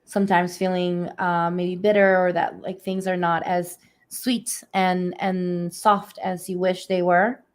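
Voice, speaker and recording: A woman speaking softly and slowly, her voice a little hoarse and raspy. She gives a spiritual reading over a livestream, pausing often with gentle fillers. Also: lowish voice, little pitch movement. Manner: soft, unhurried, many fillers. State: slightly hoarse from allergies. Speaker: energy healer giving readings. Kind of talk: live-streamed spiritual reading. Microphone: phone or webcam on a livestream.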